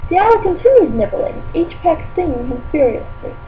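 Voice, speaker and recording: A woman's voice: high voice, very animated, moderate pace. A woman voicing a dreamy, oddball teenage witch girl who reads fan fiction aloud in character, with airy, politely curious, rambling asides. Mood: dreamy reading. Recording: tinny phone-like sound with faint hum.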